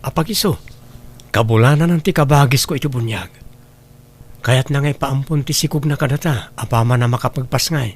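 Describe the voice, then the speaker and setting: A man's voice: mid-range voice, fairly quick, natural voice. An actor with an Ilocano Filipino accent playing an insistent, persuasive man in a radio melodrama. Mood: earnest, persuasive. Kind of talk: radio drama acting. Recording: clear background noise and ambience.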